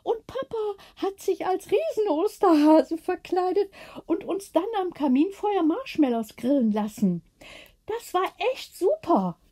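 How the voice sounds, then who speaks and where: A woman voicing an excited, enthusiastic child reminiscing in a bedtime storybook. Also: extremely high pitch, a little slow, pitched above the performer's own voice, full character voice. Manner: exclaiming, enthusiastic. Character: excited child reminiscing about Easter by the fireplace.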